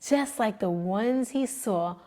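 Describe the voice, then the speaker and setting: A woman librarian reading a children's book aloud, gentle and expressive in her storytelling. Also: mid-range voice, slow pace.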